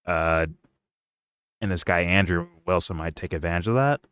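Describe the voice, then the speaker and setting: A man's voice: low voice, normal speed, a bit bright, clear, resonant tone, dry recording. A man hosting a casual reaction chat, thinking aloud and checking in with "right?". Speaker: host.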